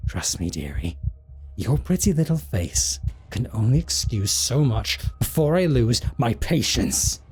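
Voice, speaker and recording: Man acting as a possessive male vampire, very husky and breathy, slow menacing drawl, condescending, audio drama roleplay, soft music behind. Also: on the low side, exaggerated voice. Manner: condescending, drawn-out, theatrical delivery.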